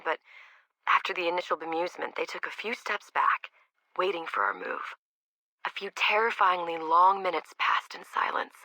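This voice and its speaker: An actress playing a wry, candid research scientist recording conversational audio logs for a video game, sardonic, informal and chatty. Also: female voice, lowish voice, bright, forceful tone, no echo, poor audio. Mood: wry, dry.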